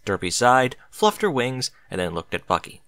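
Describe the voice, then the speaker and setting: A man reading a story aloud as narrator, in an expressive scripted narration style. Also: mid-range voice, moderate pace, dry recording.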